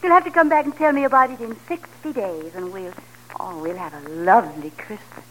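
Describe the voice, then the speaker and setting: An actress playing a coy, exasperated wife with an arch, teasing manner in a 1940s radio comedy. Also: female voice, medium pitch, brisk, a bit bright, close to an everyday voice. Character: coy, exasperated wife holding her husband off. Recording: noisy vintage 1940s radio recording.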